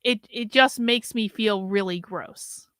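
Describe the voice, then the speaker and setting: A woman's voice: mid-range voice, slow pace, pushed and bright, dry recording, studio quality. A woman hosting a reaction show, talking bluntly in rambling asides with lots of filler and the odd swear word. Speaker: commentary host reacting to a clip. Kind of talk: casual reaction commentary.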